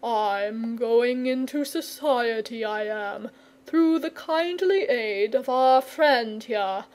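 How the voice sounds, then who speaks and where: A woman's voice: fairly high pitch, slow pace, a little mellow. Woman voicing a languid, drawling, coy dragon. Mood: smug, drawling. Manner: drawling, leisurely, testy when pressed. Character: languid, coy, fussy male dragon with artistic airs. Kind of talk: storybook character reading.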